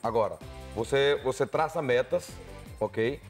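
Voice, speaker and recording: A man's voice: medium pitch, a little slow, faint music behind. A middle-aged man with a Brazilian accent giving conversational football commentary in a TV studio.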